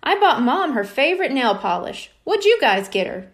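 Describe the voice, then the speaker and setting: A woman's voice: high-pitched, big pitch swings, normal speed. A woman voicing a boy, a bossy and sly older brother with a blunt, scornful manner, in a children's storybook.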